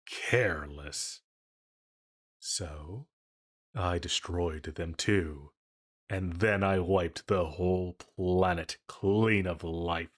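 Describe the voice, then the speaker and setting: A man playing an ancient banished villain, elegant and menacing yet fond, in a scripted intimate audio roleplay with formal, theatrical phrasing. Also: sits low. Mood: cold, reminiscing. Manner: slow, deliberate, theatrical, formal phrasing with pauses.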